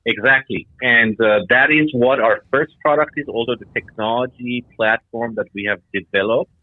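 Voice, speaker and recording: A man's voice: mid-range voice, moderate pace, no room sound. A middle-aged man from Iran and Denmark giving explanatory answers as an interview guest. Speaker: guest, startup founder. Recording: tinny phone-like line.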